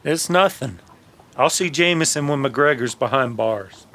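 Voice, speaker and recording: A man's voice: medium pitch, lively intonation, unhurried, a bit bright. A man playing a wounded, grumbling, dry-witted cowboy in an old-time western radio drama, sounding exasperated and sardonic. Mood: terse.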